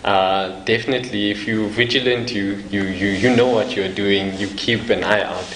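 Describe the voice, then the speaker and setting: Low-voiced young South African man, echoey room. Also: fairly even intonation, normal speed. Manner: soft-spoken, laughs often, restarts sentences. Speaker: evangelist guest. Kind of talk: casual interview. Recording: echoey room with faint noise.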